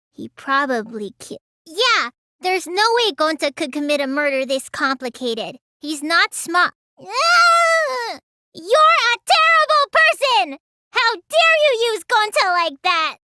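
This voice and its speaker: Casting: small teenage girl mage for a game; childish, bright, sluggish and whiny, recorded close and dry. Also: high-pitched, no room sound, heavily acted. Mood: matter-of-fact, then indignant, flustered. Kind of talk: English video game voice acting.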